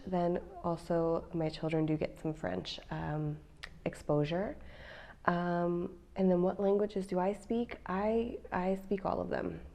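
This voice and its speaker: A woman's voice: lowish voice, dark tone. An American woman in her thirties, a mom vlogging in a chatty, playful way straight to her viewers. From United States. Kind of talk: casual chat to camera.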